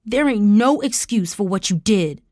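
A woman's voice: mid-range voice, animated, moderate pace, no room sound, studio quality, close to an everyday voice. A woman voicing a fiercely protective young woman who confronts people intensely, in audiobook style storytelling. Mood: furious, wounded. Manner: growling, hissing, shouting confrontationally.

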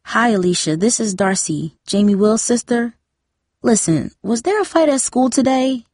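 A woman's voice: a little high, animated, normal speed, a little mellow, no room sound, clean studio sound, voice pushed up. A woman voicing a protective, persistent teenage older sister, earnest and pressing, in a story reading. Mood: questioning.

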